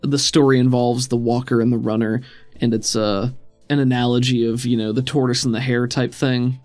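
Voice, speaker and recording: A man's voice: mid-range voice, level tone, moderate pace, a little mellow, dry recording. A man working as game master, narrating a tabletop roleplaying scene in a conversational, descriptive way. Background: music.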